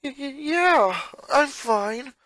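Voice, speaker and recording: Storybook role: shaken young boy, played by a man, raised pitch, hesitant stammer, dry close sound. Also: animated, takes it slowly, pushed and bright, dry recording, full character voice, low-quality recording.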